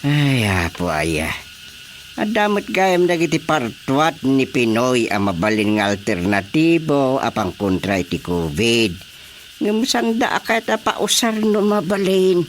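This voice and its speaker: An actor playing an easygoing, wry and skeptical Filipino villager in a radio drama comedy. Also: male voice, mid-range voice, very animated, a little slow, a little hiss or hum.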